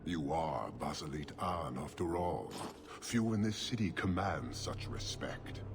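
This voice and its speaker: A man playing an imposing, stern horned giant warlord in a fantasy game, speaking in a deliberate, scornful, declamatory way. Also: rumbling low, expressive, creaky, background noise, full character voice. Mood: measured, challenging. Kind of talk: dramatic fantasy game acting. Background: loud dramatic music.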